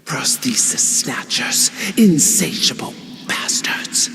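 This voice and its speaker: Casting: embittered amputee, half-whispered muttering, very slow, long hall reverb, horror game. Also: medium pitch, animated, gravelly, fairly bright tone, exaggerated voice. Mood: bitter, resentful. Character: bitter, pained amputee railing at thieves. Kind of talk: dramatic horror game dialogue. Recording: reverberant, faintly noisy hall sound.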